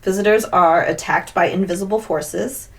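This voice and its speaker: A woman's voice: mid-range voice, moderate pace. A woman co-hosting a paranormal podcast, chatting and telling stories casually in a rambling way peppered with filler "like". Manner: rambling, heavy use of "like". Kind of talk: casual chat and storytelling. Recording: distant mic with background noise.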